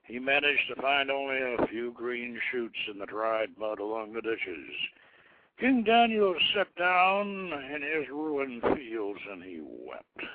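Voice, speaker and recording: A man's voice: mid-range voice, very animated, slow pace, no echo. A man reading his own story aloud as a solemn, theatrical narrator. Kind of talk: dramatic scripted storytelling. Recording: tinny phone-like internet radio audio.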